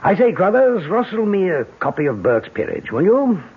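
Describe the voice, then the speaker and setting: An actor playing an older, avuncular British police inspector in a 1970s radio drama, musing in an old-fashioned way. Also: male voice, high-pitched, big pitch swings, moderate pace, breathy edge, heavily acted. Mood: musing, suspicious. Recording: tinny, hissy old radio broadcast.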